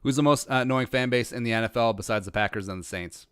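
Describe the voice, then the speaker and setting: A man's voice: mid-range voice, fast talker, fairly bright tone, smooth, no echo. A man from rural Minnesota hosting a casual livestream, reading viewer questions and musing through them with frequent restarts and dry humor. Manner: rambling, restarts often, dry self-aware humor. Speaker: podcast host answering live chat.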